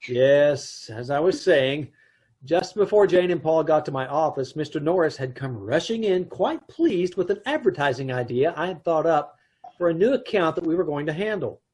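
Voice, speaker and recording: A man's voice: fairly high pitch, lively intonation, moderate pace, darkish tone, breathy edge. A man playing a wry middle-aged husband who narrates an old-time radio comedy. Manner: dry, wry storytelling.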